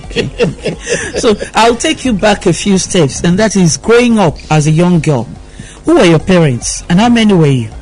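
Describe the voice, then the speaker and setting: A man with a Nigerian accent hosting a conversational radio interview, curious and quick to interject and echo the guest. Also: high voice, lively intonation, a little mellow, soft background music.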